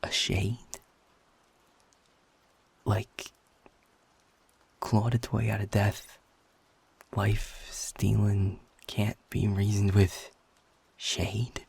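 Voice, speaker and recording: Guy acting as a feisty flirty werewolf dude, super gravelly n raspy, cocky, blunt, audio rp talking right to you. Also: flat delivery, very slow, pauses often, darkish tone, exaggerated voice. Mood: stunned, curious. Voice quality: gravelly, husky low voice. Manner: swearing, teasing, informal and blunt. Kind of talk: intimate audio roleplay, addressed to the listener.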